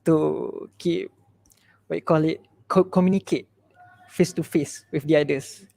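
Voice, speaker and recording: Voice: mid-range voice, fairly even intonation, measured pace, creaky, dark tone. A moderator politely inviting panelists to speak, hesitant and full of fillers, over a video call.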